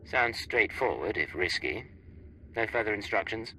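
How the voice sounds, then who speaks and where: An actor playing a cool, skeptical secret agent in a video game, dry and questioning. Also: male voice, on the low side, animated, moderate pace, sharp tone, slight background noise, poor audio. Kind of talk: cinematic video game acting.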